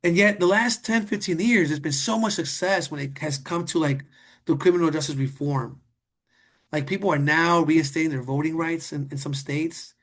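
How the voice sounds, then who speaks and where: Male moderator, fairly high voice, earnest and rambling, conversational panel delivery. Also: steady tone, moderate pace. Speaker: webinar moderator. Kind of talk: casual panel discussion.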